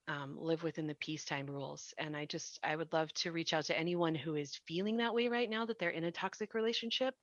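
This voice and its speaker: A woman's voice: low-pitched, sharp tone, dry recording. A woman giving reflective advice in a podcast discussion, earnest and reassuring, on slightly dull audio. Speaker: podcast panelist.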